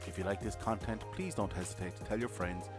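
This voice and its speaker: A man hosting a podcast, asking questions in a friendly, energetic way. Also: fairly low pitch, quick pace, over music. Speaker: podcast host. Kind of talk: casual podcast interview.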